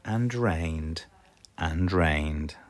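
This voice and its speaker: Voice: extremely low pitch, very slow. A storybook narrator with a British accent, reading aloud expressively for children.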